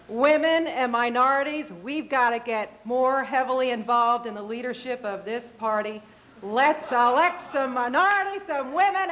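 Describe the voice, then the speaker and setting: A woman's voice: sits high, fairly bright tone. A woman giving a short, rousing political speech at a party event, speaking directly to the crowd.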